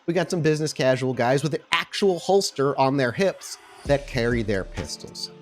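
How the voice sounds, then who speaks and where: A man as game master, giving atmospheric tabletop game narration with dramatic, deliberate phrasing. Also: medium pitch, animated. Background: music.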